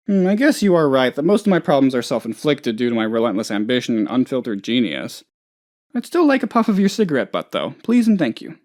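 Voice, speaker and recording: An actor playing a naive, anxious, scatterbrained office boss who rambles and gets sidetracked, in a video game. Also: male voice, sits high, darkish tone. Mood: pleading, eager. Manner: rambling, absent-minded, easily sidetracked. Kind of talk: video game character voice lines.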